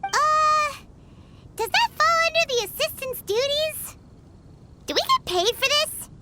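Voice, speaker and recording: A woman's voice: very high-pitched, expressive, unhurried, loud music behind the voice. A woman voicing a tiny, childlike fairy companion in a video game, exclamatory and cheeky. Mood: excited, eager. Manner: exclamatory, cheeky, speaks of self in third person. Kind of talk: animated video game voice acting.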